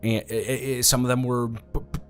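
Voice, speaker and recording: A young man playing a new classmate in a romance audio drama, speaking to the listener in a halting, flustered way, overwhelmed and stumbling over his words. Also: medium pitch, level tone, faint music behind. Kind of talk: one-sided audio roleplay to the listener.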